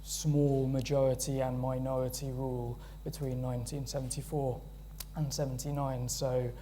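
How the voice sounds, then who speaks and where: A man's voice: mid-range voice, flat delivery, moderate pace, dark tone, slight background noise. A British man chairing a panel event, introducing speakers in a friendly, hesitant way with many fillers.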